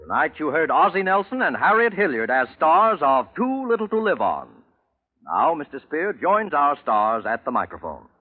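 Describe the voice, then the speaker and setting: A man's voice: fairly high pitch, big pitch swings, normal speed. A man announcing a 1940s radio thriller series in a dramatic scripted style. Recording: tinny old radio broadcast over music.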